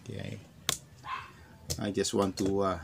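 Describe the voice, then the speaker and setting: A man's voice: low voice, level tone, takes it slowly. A Filipino man whose first language is Tagalog, hesitantly talking through an unboxing with many fillers, at a desk indoors. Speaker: vlogger. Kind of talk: casual unboxing talk. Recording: clear crinkling noise behind. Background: plastic crinkling and clicks.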